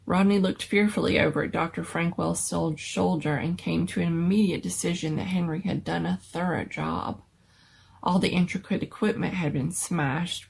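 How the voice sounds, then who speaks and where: A woman's voice: on the low side, creaky, a little hiss or hum. A woman giving a dramatic reading of a scary story as its storyteller.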